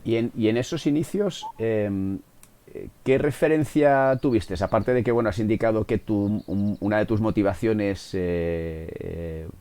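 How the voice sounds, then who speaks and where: A man with a low, mellow voice hosting a podcast interview. He poses his questions hesitantly and often rephrases them as he goes. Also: measured pace, clean tone.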